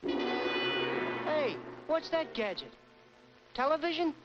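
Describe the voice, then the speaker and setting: A brash but easygoing American working stiff from an old cartoon, very high in pitch and slow to speak. He is folksy and doubting, and the sound is a muffled film soundtrack with loud music. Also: huge pitch swings, exaggerated voice. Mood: doubtful, curious. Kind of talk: 1940s cartoon voice acting.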